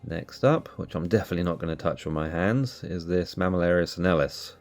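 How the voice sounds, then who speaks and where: A man hosting a cactus channel, sounding nasal from allergies, calmly explaining as he narrates. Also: deep voice, fairly quick, faint music behind. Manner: relaxed, explanatory. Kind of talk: casual voice-over narration.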